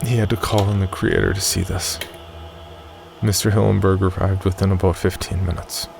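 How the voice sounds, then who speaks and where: Very deep, gravelly man narrating horror. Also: little pitch movement, moderate pace, over music. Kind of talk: scripted first-person horror story narration.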